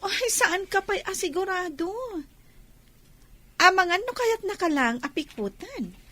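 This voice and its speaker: A woman's voice: extremely high pitch, animated, measured pace, pushed and bright, no echo, full character voice. A woman playing a skeptical, meddling young woman in a radio drama, drawling dramatically.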